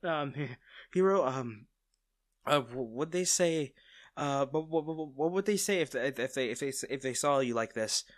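A man's voice: mid-range voice, animated, moderate pace, dry recording, studio quality, lightly acted. A man playing a tender, nervous villain in an intimate audio roleplay, stammering and hesitant as he speaks to the listener. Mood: breathless, flustered. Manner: hesitant, stammering, soft-hearted. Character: smitten masked male villain, nervous, tender, teasing.

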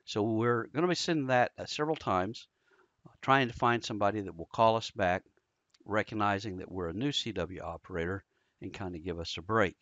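A man's voice: lowish voice, fairly quick, fairly bright tone, no room sound, muffled recording. An American man giving a casual screen-recorded tutorial, explaining informally step by step. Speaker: amateur radio instructor.

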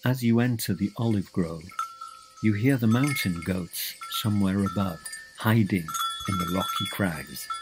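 A man narrating a scripted guided visualization in a measured, deliberate way, giving instructions in short phrases. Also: on the low side, over music.

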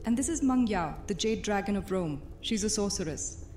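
A woman's voice: medium pitch, expressive, moderate pace, darkish tone, soft background music, lightly acted. A woman playing a composed vampire commander of Roman soldiers in a fantasy audio drama. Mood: composed, formal.

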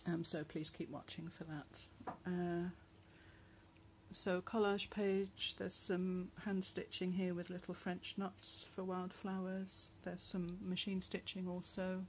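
A woman talks casually while showing a handmade journal. She speaks softly, with many pauses and fillers, and her voice is going hoarse. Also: lowish voice, level tone, slight background noise. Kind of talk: casual craft flip-through narration. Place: indoors at a table at home. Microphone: phone camera above the table.

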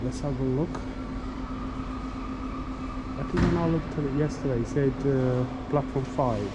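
Man vlogging over loud background noise. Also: medium pitch. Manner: halting, thinking aloud. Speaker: travel vlogger. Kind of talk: casual vlog commentary. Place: railway station hall and inside a train carriage. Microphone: action camera at arm's length. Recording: loud ambient station and train noise.

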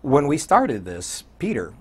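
Husky older American man. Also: medium pitch, wildly swooping pitch, unhurried. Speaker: radio program director and DJ, interview guest. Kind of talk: conversational studio interview. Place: small indoor TV studio.

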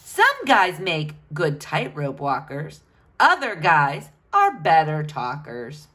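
A woman's voice: low voice, wildly swooping pitch, takes it slowly, fairly bright tone. A woman reading a picture book aloud to children, with a sing-song, questioning delivery.

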